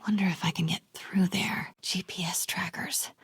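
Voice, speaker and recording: A very breathy, slightly low-pitched young American woman as a plucky investigative reporter in a video game. She sounds natural and determined, with a wry edge, in a dry, close recording. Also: steady tone, normal speed, no echo, whispered. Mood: hushed, curious. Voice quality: breathy, often hushed.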